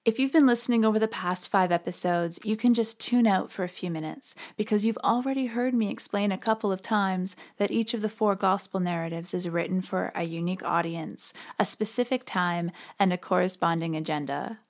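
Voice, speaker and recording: A woman hosts a podcast in a conversational but scripted style. She explains religious material informally, with a middling pitch for a woman. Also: brisk, hardly any pauses. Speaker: podcast host.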